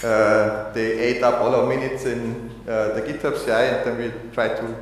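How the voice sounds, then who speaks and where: A man from Austria giving a technical conference talk, halting with many fillers and restarts. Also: medium pitch, level tone, slight background noise. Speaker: conference speaker. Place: conference hall. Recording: echoey hall, long reverb.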